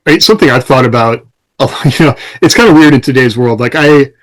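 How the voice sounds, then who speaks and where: A man's voice: medium pitch, brisk. An American man talking casually as a podcast host, explaining things conversationally with examples and quoted lines.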